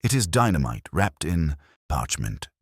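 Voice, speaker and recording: A man's voice: extremely low pitch, big pitch swings, unhurried, slightly rough, dry recording, studio quality, exaggerated voice. A man voicing an intense, theatrical Victorian detective in an audiobook, with declamatory, clipped phrases. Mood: urgent. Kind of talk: audiobook character dialogue.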